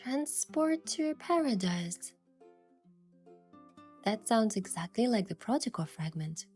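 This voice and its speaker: An actress playing a playful, determined young woman who narrates her romantic game adventure in a measured, expressive way. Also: on the high side, husky, soft background music, full character voice. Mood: determined, curious. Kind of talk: first-person story narration and dialogue.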